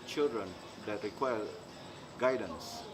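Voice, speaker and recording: A middle-aged man from Indonesia, speaking haltingly in an interview and often restarting as he searches for words. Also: fairly low pitch, animated. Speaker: interviewee, maker. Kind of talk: casual interview talk. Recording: clear background noise, insects.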